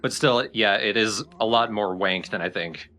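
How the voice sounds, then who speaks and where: Bright, low-pitched man chatting about games. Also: normal speed. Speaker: let's play co-commentator. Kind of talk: casual opinion chat.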